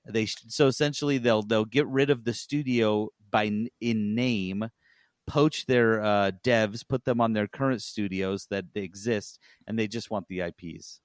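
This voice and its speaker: A man co-hosting a podcast, giving opinions in a casual discussion in a halting, explanatory way. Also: fairly low pitch, steady tone, no echo, clean studio sound. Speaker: podcast co-host.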